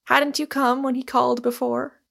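A woman voicing a sardonic, bitter Irishman in audiobook dialogue, with calm, deliberate irony. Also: high-pitched, gravelly, voice pushed up, exaggerated voice. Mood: mock-soothing, ironic. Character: gloomy, sardonic Irishman, quiet, bitter and ironical.

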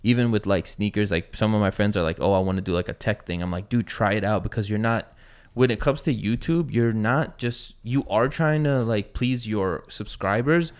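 A man's voice: on the low side, moderate pace, clean tone. A man in his thirties, a sneaker YouTuber, chatting casually and rambling with lots of fillers and swearing. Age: 30s. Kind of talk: casual chat.

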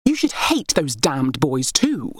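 A woman narrator acts out an imperious young royal heir from a historical storybook. She is proud, scornful and quick to fury, her pitch swooping upward over a dry recording. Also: wildly swooping pitch, slightly rough, no echo, exaggerated voice. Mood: furious scorn.

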